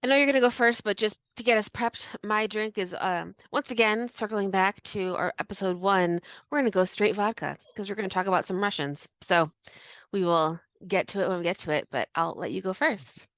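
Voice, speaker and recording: A woman's voice: medium pitch, brisk, fairly bright tone, dry recording. A woman co-hosting a podcast in casual chat, sounding a little sniffly. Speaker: podcast co-host.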